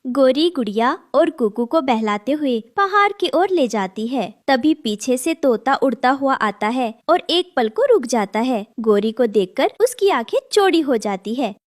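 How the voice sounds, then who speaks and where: A woman's voice: high voice, lively intonation, a little slow, hardly any pauses. A young adult woman narrating a scripted cartoon story.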